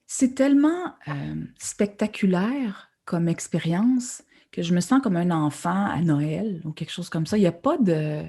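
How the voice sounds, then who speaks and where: A woman's voice: on the low side, animated, measured pace, dark tone, husky. A woman novelist, speaking thoughtfully in an interview, often restarting her sentences. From Quebec. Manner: thoughtful, hesitant, many repeated starts. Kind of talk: conversational literary interview.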